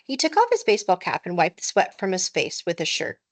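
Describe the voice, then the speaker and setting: Animated woman reading a children's story. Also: on the low side, fairly quick. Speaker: teacher reading aloud. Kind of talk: expressive children's book narration.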